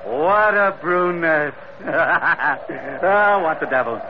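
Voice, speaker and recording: Roguish, easygoing ship's mate, high animated voice, jovial, vintage radio drama with heavy noise. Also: male voice, takes it slowly. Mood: sheepish, jovial. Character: easygoing, roguish first mate of a sailing ship. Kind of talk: 1940s radio acting. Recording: dull old radio recording, heavy noise.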